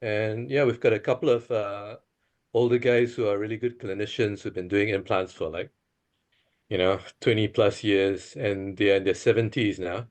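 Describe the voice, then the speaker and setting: Low-pitched man, casual introduction. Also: steady tone, moderate pace. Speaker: course participant, dentist.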